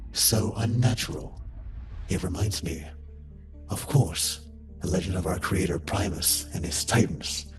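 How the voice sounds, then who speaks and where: A man voicing a solemn, devout robot warrior in a scripted audio drama, with grave, portentous delivery. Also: low voice. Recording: echoey voice over music.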